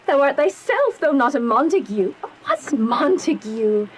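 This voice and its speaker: An actress as a young Shakespearean maiden in love. Her voice is high and youthful, and she takes the verse very slowly. She sounds earnest, yearning and a little anxious, in the manner of romantic radio drama. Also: sing-song, slight background noise, low-quality recording. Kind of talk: slow dramatic verse acting.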